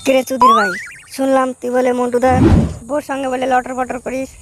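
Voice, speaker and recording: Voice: sits high, monotone, a little fast, heavy background noise. A performer voicing a crude, quarrelsome young village man in a comic cartoon, brash, mocking and slangy. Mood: mocking, irritated. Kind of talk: comic cartoon dubbing, foul-mouthed banter.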